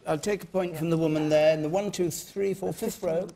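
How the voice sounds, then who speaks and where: A man chairing a panel debate in front of an audience, briskly interrupting and keeping speakers in order. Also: a little high, fairly even intonation, creaky. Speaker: host moderating a panel debate. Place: large hall with studio audience. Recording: audience murmur and background noise.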